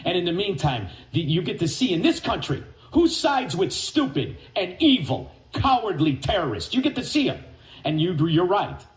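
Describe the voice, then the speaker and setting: A man hosting talk radio, ranting and mocking with name-calling and sudden shouted bursts. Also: sits high, very animated, moderate pace, reverberant, poor audio. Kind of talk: angry talk radio rant.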